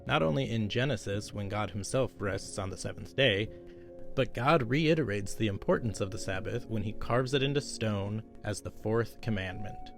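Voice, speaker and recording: A man's voice: fairly low pitch, moderate pace, gravelly. A man giving scripted, reflective narration. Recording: voice-over with background music. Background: music.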